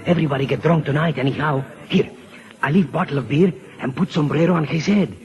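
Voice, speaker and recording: Man playing high-voiced Mexican bartender. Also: quick pace, a little mellow, faint music behind, close to an everyday voice. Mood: conspiratorial, helpful. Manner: broken English, sprinkled Spanish. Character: helpful, excitable Mexican bartender in a small town cafe. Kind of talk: 1940s radio drama acting. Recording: dull old radio broadcast.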